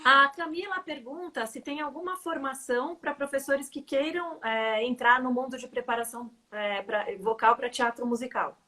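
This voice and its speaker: A woman's voice: high-pitched, moderate pace, few pauses, sharp tone. A woman co-hosting a live online interview, asking questions conversationally over a video call. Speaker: co-host.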